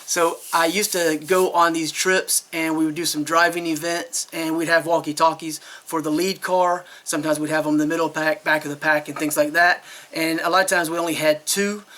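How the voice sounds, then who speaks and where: A man's voice: on the high side, flat delivery, normal speed, hardly any pauses, bright, forceful tone. A man giving a casual, unscripted product review in a chatty, rambling, candid way.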